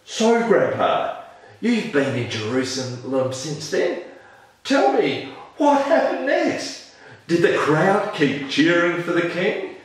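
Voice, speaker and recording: A man voicing an eager, excitable young donkey in a children's story, full of wide-eyed enthusiasm. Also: a little high, takes it slowly. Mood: eager, curious. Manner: exclaiming, breathless enthusiasm. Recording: roomy, echoing, distant microphone.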